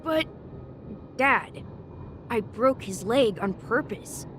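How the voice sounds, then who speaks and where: Woman voicing sly young game son, small boy, high-pitched, slow, meek, innocent-sounding. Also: animated, fairly bright tone, faint music behind. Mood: innocent, earnest. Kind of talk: video game voice acting.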